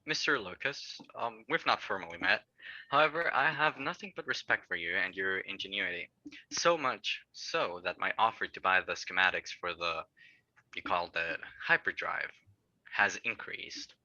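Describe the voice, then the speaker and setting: A man playing a polite but menacing tycoon villain in a space audio drama, smug and mock-courteous, speaking deliberately. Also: on the low side, lively intonation, bright, forceful tone, no echo, lo-fi sound, full character voice. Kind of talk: audio drama table read.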